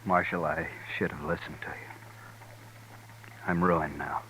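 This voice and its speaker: A fretful deep-voiced banker. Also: male voice, steady tone, slow pace, pushed and bright, full character voice. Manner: pleading and fretful. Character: anxious, ruined small-town male banker. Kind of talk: 1950s radio drama acting. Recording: noisy old radio broadcast.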